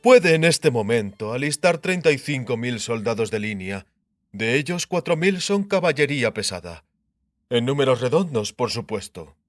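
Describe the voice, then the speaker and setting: Sardonic king, acted by a man, pompous, self-assured, lively intonation, medium pitch, audiobook drama. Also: moderate pace, no room sound, clean studio sound, close to an everyday voice. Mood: matter-of-fact. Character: shrewd, mocking, self-assured male king.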